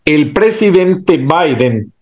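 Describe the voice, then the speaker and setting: A male host explains current events in a steady, informative manner. His voice sits a little higher than most men's. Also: slow. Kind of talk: casual political chat.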